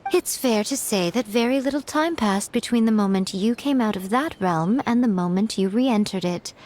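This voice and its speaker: A woman's voice: medium pitch, moderate pace, darkish tone, a little hiss or hum, close to an everyday voice. A woman playing a sly, teasing fox-spirit priestess in a game cutscene, poised and playful. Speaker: shrine priestess character.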